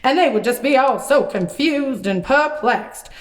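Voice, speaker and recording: A woman voicing a folksy, excitable male prophet puppet who tells stories theatrically in a children's puppet show. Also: high-pitched. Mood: folksy reminiscing. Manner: folksy, theatrical, drawn-out delivery.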